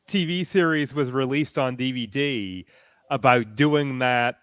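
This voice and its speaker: A man's voice: mid-range voice, a little slow, fairly bright tone, clear, resonant tone, no room sound, studio quality. A man speaking casually as a panelist and podcaster in a discussion.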